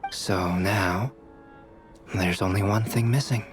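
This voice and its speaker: An actor playing a calm, analytical young male alchemist in a video game, measured and explanatory. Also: fairly low pitch, faint music behind. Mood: composed, explanatory.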